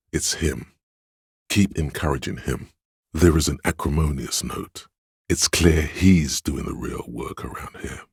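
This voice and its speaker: Very deep, commanding inner voice of authority. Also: male voice, slightly rough, dry recording, studio quality, heavily acted. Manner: commanding, deliberate, imperious. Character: inner voice of Authority, proud, domineering male narrator. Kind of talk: scripted video game voice acting.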